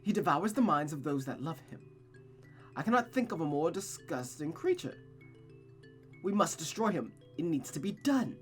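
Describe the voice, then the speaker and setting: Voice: medium pitch, big pitch swings, normal speed, soft background music, lightly acted. A performer voicing a cold, manipulative mother who coaxes and dominates her child with silky endearments in a visual novel. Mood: menacing.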